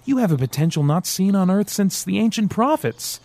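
A man voicing a smooth, sarcastic male devil who teases and threatens by turns in an audiobook. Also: high-pitched, very animated, normal speed, darkish tone, no room sound, voice pushed up. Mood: persuasive. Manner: teasing, sarcastic, theatrical. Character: sly mocking male devil, smooth, menacing.

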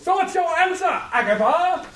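A man's voice: very high voice, animated, moderate pace, a bit bright, a little hiss or hum. A man playing a cheerfully deranged, self-proclaimed hero in a theatrical, grandiose manner for a comedic radio play. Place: live convention stage before an audience. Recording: echoey live stage, distant mic.

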